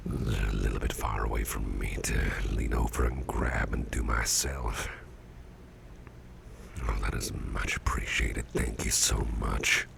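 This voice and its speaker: A man playing a weary, courteous businessman boss in an intimate ASMR roleplay, murmuring in a gravelly, breathy near-whisper with folksy hesitations. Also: a bass voice, fairly even intonation, a little slow, fairly bright tone, exaggerated voice. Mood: polite, grateful. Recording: clearly audible background noise.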